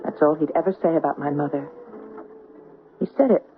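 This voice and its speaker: A woman's voice: low-pitched, brisk, lightly acted. An actress playing an earnest, insistent young woman in a radio drama. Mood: anguished, insistent. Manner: intense, insistent. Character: earnest, stubborn young woman haunted by her mother. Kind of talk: 1970s radio drama acting. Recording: muffled, hissy old radio recording.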